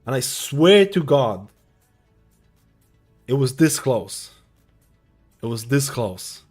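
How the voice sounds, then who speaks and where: A man's voice: medium pitch, big pitch swings, slow pace, darkish tone, faint music behind. Rambling Lithuanian-born streamer guy. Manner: rambling, repeats himself, many fillers. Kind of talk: casual live-stream chat. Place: home streaming room.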